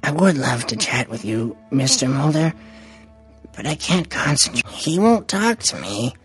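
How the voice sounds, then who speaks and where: An actor voicing a jittery, strung-out rat in a noir adventure game, whining and distracted. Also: male voice, mid-range voice, animated, unhurried, faint music behind, lightly acted. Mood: twitchy, evasive. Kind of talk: cartoonish noir game acting.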